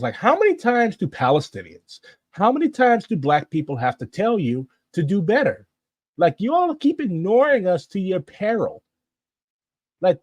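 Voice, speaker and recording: A man's voice: mid-range voice, a little mellow, no echo. A man with a St. giving blunt, impassioned political commentary. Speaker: political activist.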